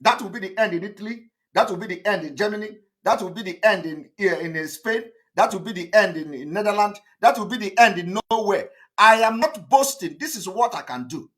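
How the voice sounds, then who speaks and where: A Nigerian man speaks as a political activist broadcasting to his followers. His voice sits a little high, and he is emphatic and defiant, hammering points home by repeating them. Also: lively intonation, a little fast, hardly any pauses, a bit bright. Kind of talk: impassioned political monologue to camera.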